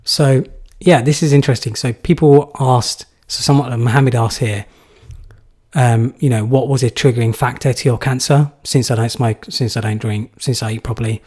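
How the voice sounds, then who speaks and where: A man's voice: mid-range voice, steady tone, normal speed, darkish tone, husky. A young man from England answering viewer questions in a live stream, casual and rambling with many fillers. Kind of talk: live Q&A chat.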